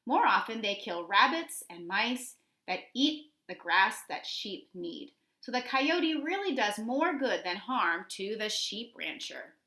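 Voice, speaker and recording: A woman teacher reading a nature book aloud to children, enunciating clearly and expressively and putting questions to her young listeners. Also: on the high side, pushed and bright. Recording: echoey, roomy sound.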